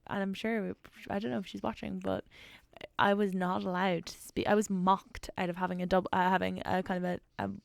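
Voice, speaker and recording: A young woman from Dublin with a soft south Dublin accent, chatting as a student radio host, laughing easily and saying "yeah" a lot. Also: mid-range voice, little pitch movement, fairly quick, creaky, dry recording. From Dublin, Ireland. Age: young adult. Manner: chatty, laughs often, many "yeah"s and restarts. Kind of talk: casual chat.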